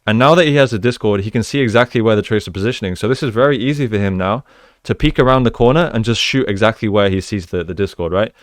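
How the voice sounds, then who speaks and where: A man's voice: fairly low pitch, fast, dry recording, studio quality. A man giving casual, explanatory gameplay commentary as an esports coach, using gamer slang. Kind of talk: live gameplay commentary and breakdown.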